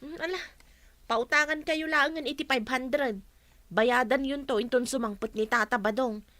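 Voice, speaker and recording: A woman playing a kind young woman who gently offers to lend money. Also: sits high, normal speed, no room sound. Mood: gentle.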